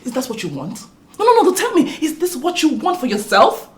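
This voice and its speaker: A woman's voice: lowish voice, animated, normal speed, close to an everyday voice. An actress playing a sarcastic sister who lectures and mocks her brother's wife.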